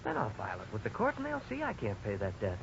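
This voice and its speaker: An actor playing a worried young husband, frank and resigned, in a 1950s radio drama. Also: male voice, on the low side, wildly swooping pitch, quick pace, vocal fry, full character voice. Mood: hopeful. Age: young adult. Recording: dull, hissy 1950s radio recording.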